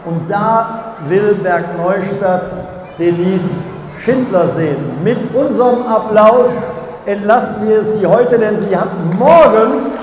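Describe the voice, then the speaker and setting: A man's voice: high voice, slow, darkish tone. An older man interviewing a guest on stage in a sports hall, speaking formally into a handheld mic and rallying the audience. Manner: formal, announcing, rallying the crowd. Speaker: host interviewer. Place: large sports hall, indoors. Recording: echoey hall with crowd noise.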